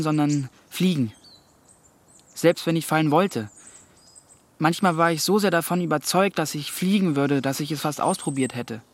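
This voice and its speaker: A man's voice: fairly high pitch, close to an everyday voice. A young male actor playing a curious, stubborn teenage boy in naturalistic radio drama, sounding youthful and thoughtful.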